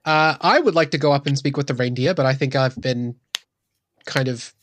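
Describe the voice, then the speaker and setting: A man's voice: medium pitch, moderate pace, fairly bright tone, a little hiss or hum. A man playing a tabletop game, chatting casually and explaining his actions as he improvises. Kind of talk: casual improvised role-play chat.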